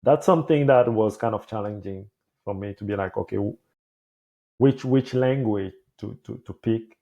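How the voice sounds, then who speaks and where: Low gravelly man, halting speech, native French. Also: dark tone, muffled recording. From Cameroon. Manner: halting, many restarts and repeated words. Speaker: podcast host. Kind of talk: casual conversation. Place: Montreal.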